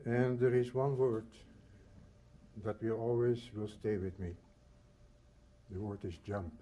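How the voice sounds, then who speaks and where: A man's voice: on the low side, fairly even intonation, warm tone, lo-fi sound, slight background noise. Solemn man in his eighties. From Netherlands and Belgium. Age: 80s. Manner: measured and solemn, in short phrases. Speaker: Holocaust survivor giving testimony. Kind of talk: reading a prepared personal testimony.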